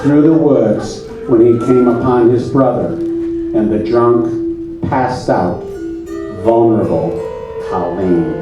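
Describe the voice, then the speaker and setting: Slow, pompous deadpan man, very echoey. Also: medium pitch, lo-fi sound. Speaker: puppet show presenter and narrator. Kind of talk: mock-solemn comedic stage narration. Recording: very echoey, distant mic, music behind.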